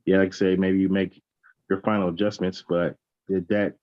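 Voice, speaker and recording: A man with a very deep voice offers casual feedback in an informal discussion. His audio is somewhat dull and muffled. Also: flat delivery, moderate pace, darkish tone.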